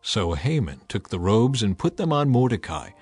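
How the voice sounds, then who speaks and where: A man's voice: fairly low pitch, big pitch swings, normal speed, husky, dry recording. A man narrating a dramatized scripture audiobook in an emphatic, theatrical storytelling manner. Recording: music bed behind the voice.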